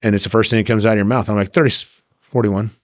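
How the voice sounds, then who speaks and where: A man's voice: lowish voice, fairly even intonation, quick pace, dry recording, clean studio sound. A middle-aged man telling casual anecdotes as a podcast guest, acting out both sides of conversations. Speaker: podcast guest, former undercover agent.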